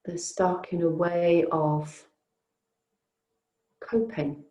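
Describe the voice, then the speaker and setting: A woman teaching yoga nidra, guiding a relaxation in a soothing, unhurried, gently inviting way with long pauses. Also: fairly low pitch, little pitch movement, echoey room, highs cut off. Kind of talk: guided relaxation meditation.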